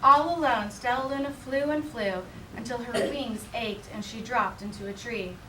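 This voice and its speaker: A woman's voice: on the high side, normal speed. Woman reading aloud in an echoey room. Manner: animated, acts out character dialogue. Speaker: teacher reading aloud to a class. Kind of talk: expressive picture-book read-aloud. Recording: roomy, distant mic with background noise.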